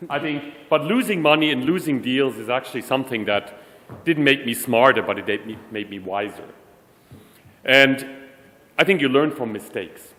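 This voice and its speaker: A man's voice: mid-range voice, lively intonation, moderate pace, a bit bright. A middle-aged man giving a conversational, self-deprecating conference talk on stage. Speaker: conference speaker. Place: large hall. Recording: echoey hall, faint room noise.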